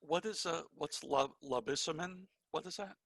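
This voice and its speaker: A man's voice: on the high side, creaky, fairly bright tone, slight background noise. A man joking and playing with words in a casual video-call chat. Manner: joking, quick with wordplay.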